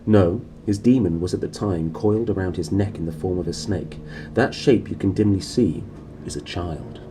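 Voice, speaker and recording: A man voicing a composed, authoritative gentleman explorer who lectures calmly and in measured phrases in a storybook reading. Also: low-pitched, natural voice. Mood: calm, assured. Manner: calm, measured. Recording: clearly noisy room recording.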